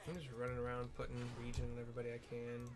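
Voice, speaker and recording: A man's voice: mid-range voice, monotone, very fast. A man giving casual, rambling gameplay commentary, explaining and describing what happens as he plays. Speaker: video game streamer and narrator.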